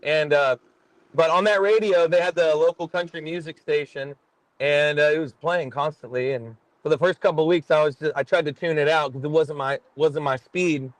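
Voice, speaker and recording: Middle-aged texas guy rambling on a podcast, kinda high voice, dry sound. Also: moderate pace, no echo, low-quality recording. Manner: rambling, self-deprecating storyteller. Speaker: guest musician. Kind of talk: casual podcast chat.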